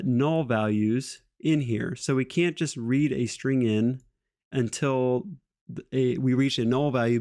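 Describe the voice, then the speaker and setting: A man's voice: medium pitch, darkish tone. A man walking through a screen-share coding tutorial in a casual, self-deprecating way, thinking aloud and using fillers as he goes. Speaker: instructor.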